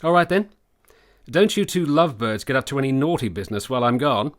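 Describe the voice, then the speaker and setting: An actor playing a genteel, good-humoured doctor in a period radio drama, with a theatrical, musing manner. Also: male voice, mid-range voice, expressive, quick pace, lightly acted. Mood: jovial, teasing.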